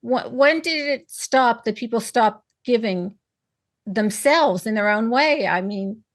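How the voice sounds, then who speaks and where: Female panel host, slightly high voice, opinionated and emphatic commentary. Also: expressive, takes it slowly. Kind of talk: scripted commentary and panel discussion.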